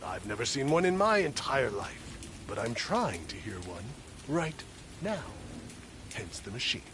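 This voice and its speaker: A man's voice: medium pitch, slight background noise, exaggerated voice. A man voicing a fussy, irritable, self-important ghost hunter in a video game, given to exclaiming. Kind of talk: video game character dialogue.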